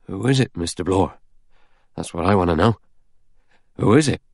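A man voicing an anxious, bewildered butler with a hoarse voice in an audiobook. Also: sits low, lively intonation, normal speed, a little mellow, dry recording, studio quality, full character voice. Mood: agitated. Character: frightened, bewildered male butler, anxious servant. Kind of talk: audiobook character dialogue.

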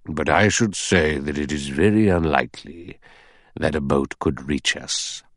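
A man playing an old judge in an audiobook, measured, precise, pedantic and coolly authoritative. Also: a bass voice, no room sound, clean studio sound. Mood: measured, grave. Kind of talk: audiobook character dialogue.

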